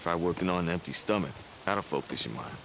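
Low-voiced, chatty camp mechanic. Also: male voice, animated, quick pace, background noise. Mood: easygoing, philosophical. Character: chatty, evasive camp mechanic, small-time hustler. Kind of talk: naturalistic cinematic game acting.

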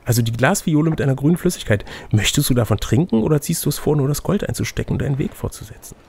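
A man's voice: on the high side, lively intonation, very fast, gravelly, darkish tone, slight background noise. A man acting as game master, reading an adventure gamebook aloud like a storybook, with playful, chatty asides. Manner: playful, chatty asides between read passages. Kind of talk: storybook narration mixed with casual banter.

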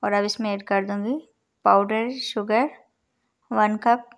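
A woman with an Urdu-influenced accent narrating a recipe step by step as a cooking channel host, calm and instructive as she counts out each measure. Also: medium pitch, takes it slowly, creaky. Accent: Urdu-influenced Hindustani. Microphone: voice-over over overhead cooking shots.

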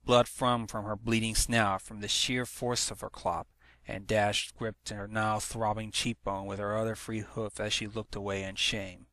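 Bright-voiced man narrating a story. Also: fairly low pitch, flat delivery, measured pace, dry recording. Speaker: fan fiction narrator. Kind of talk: dramatic scripted story narration.

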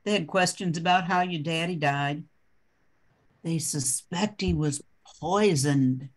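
A woman's voice: sits low, slow pace, noisy background. An older woman playing a secretive, easily flustered widowed mother in an amateur radio play, with a hesitant manner. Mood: hushed, uneasy. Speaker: amateur radio drama performer. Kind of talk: old-time radio play acting.

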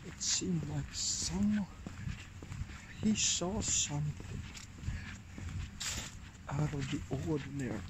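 A man vlogging about paranormal events, talking in a hushed, hesitant way with many fillers and restarts. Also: fairly high pitch, slow pace, long pauses. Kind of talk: casual vlog talk. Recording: loud outdoor background noise.

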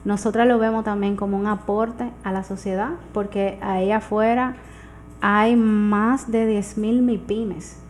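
Dominican woman over clear background noise. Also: mid-range voice, steady tone, unhurried, a little mellow. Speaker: guest entrepreneur. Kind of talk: casual radio interview. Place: small radio studio, indoors. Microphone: studio microphone on arm.

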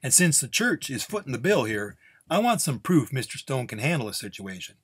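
Man voicing a sharp-tongued defiant nun, husky. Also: mid-range voice, big pitch swings, brisk, no room sound, studio quality, pitched above the performer's own voice. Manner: blunt, curt, challenging. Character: sharp-tongued defiant young nun, foul-mouthed, commanding.

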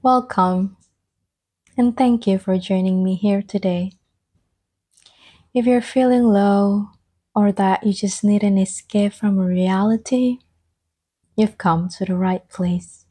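A soft-spoken woman gently guiding an ASMR meditation, breathy and often slipping toward a whisper, with soothing, unhurried phrasing. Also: medium pitch, fairly even intonation. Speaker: ASMR guide and host.

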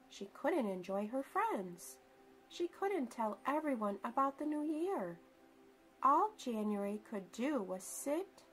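A woman with a fairly high, very lively voice reads a picture book to children. She takes her time, stressing words dramatically, over a music bed. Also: rough recording. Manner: deliberate, dramatic emphasis for young listeners. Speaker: storyteller for children. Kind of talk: expressive children's picture book read-aloud.

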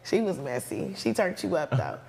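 A woman's voice: low-pitched, huge pitch swings, moderate pace, vocal fry. An American woman hosting a casual talk show, brash and playful, with heavy slang and swearing. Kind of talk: casual chat with callers. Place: small studio lounge with couches. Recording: noisy, reverberant studio sound with clicks.

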